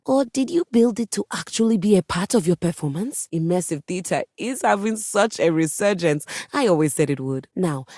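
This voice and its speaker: Snooty grand piano diva game character, very mellow tone, dry close sound, super precise and theatrical. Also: female voice, on the low side, dry recording. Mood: grand, self-assured. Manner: grandiose, pompous, precise wording. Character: vain, theatrical piano diva, refined and haughty.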